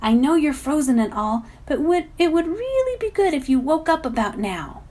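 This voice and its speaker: A woman's voice: sits high, very animated, moderate pace, darkish tone, voice pushed up. A woman voicing an anxious, plucky young girl in a storybook reading, nervous and trying to sound reasonable. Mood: pleading.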